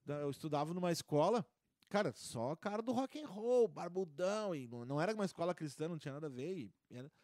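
A man's voice: a little high, expressive, fast, a little mellow, no room sound, clean studio sound. A man, a podcast guest telling travel stories in casual chat, full of restarts and fillers. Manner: rambling storyteller with many restarts and fillers.

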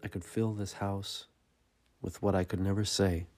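A man giving a dramatic, scripted reading of poetry in a somber, measured and deliberate manner. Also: low-pitched, flat delivery, darkish tone, soft background music. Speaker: podcast host reading poetry.

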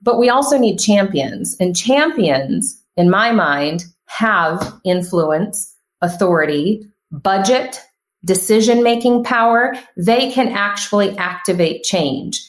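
A woman's voice: medium pitch, takes it slowly. Emphatic woman in an echoey room. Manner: emphatic, animated, frequent restarts. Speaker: podcast guest. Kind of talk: casual conversational interview.

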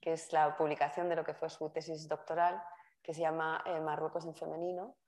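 Low-pitched woman host, casual and self-correcting, introducing a speaker. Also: little pitch movement, a little fast, darkish tone. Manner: chatty, self-correcting, laughs at own slips. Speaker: course organizer introducing the speaker. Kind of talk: informal spoken introduction. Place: university lecture room.

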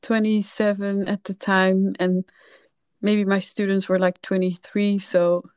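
A woman in her thirties from the Netherlands talks as a podcast guest, candid and reflective with soft laughs. Her voice is smooth and resonant, her pace slow and unhurried, and the sound is dry and close. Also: mid-range voice, little pitch movement, no room sound. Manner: candid, reflective, laughs softly while telling her story. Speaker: filmmaker guest. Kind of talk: casual podcast conversation.

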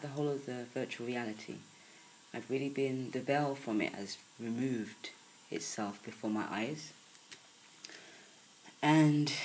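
A woman with a croaky early-morning voice giving a soft, unhurried spiritual podcast talk, with many pauses and fillers. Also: very deep voice, rough recording.

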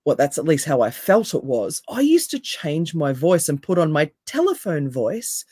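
A woman with a deep, raspy voice, speaking as a coach in an interview in an emphatic, animated way. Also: normal speed, dry recording, studio quality. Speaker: NLP coach and hypnotherapist, guest. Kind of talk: casual interview chat.

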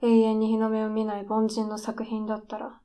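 A woman's voice: on the high side, monotone, moderate pace, mellow, lightly acted. A woman playing a desperate, defiant aspiring scriptwriter who needles in polite speech, in a radio drama. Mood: bitter, challenging. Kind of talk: radio drama dialogue acting.

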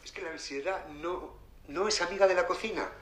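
A man's voice: sits high, a little fast, close to an everyday voice. A man playing a patient, philosophical cook explaining his craft in an audio drama. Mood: reflective, instructive. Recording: roomy echo, faint background noise.

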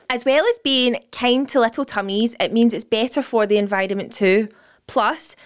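A woman reads a sponsor segment with an upbeat lilt. Her voice is smooth and resonant, pitched a touch high. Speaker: podcast co-host reading an ad. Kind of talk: scripted ad read.

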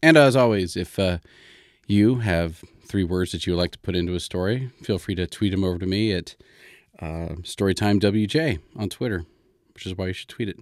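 A man hosting a story podcast, improvising in a casual, informal and halting way as he thinks aloud. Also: deep voice, a little mellow, dry recording, studio quality.

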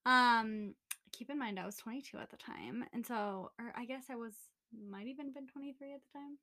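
A woman's voice: fairly high pitch, brisk, pushed and bright. A young woman in her twenties co-hosting a casual podcast chat, telling a personal story in a candid, rambling way with many fillers. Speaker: podcast co-host.

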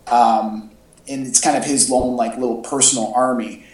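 A man's voice: mid-range voice, fairly even intonation, moderate pace, darkish tone, far from the mic. A man co-hosting a casual fan podcast, talking in an enthusiastic, rambling way. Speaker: podcast co-host. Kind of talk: casual fan chat about superhero movies. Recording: echoey, off-mic home podcast audio.

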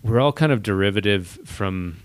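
A man's voice: fairly low pitch, animated, normal speed, clean tone, no room sound. A man in his thirties chatting casually as host, rambling and correcting himself as he goes. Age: 30s. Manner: rambling, hedging, self-correcting. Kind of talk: casual chat.

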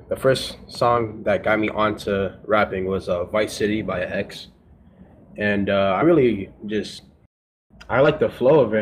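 A man's voice: on the low side, slight background noise. A young man answering interview questions in a casual, rambling way full of fillers. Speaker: guest rapper.

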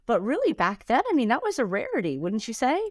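A woman hosting a podcast interview, responding warmly with frequent agreement and questions. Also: fairly high pitch, huge pitch swings, quick pace, no room sound, clean studio sound. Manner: affirming, frequent agreeing backchannels. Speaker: podcast host. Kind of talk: interview conversation.

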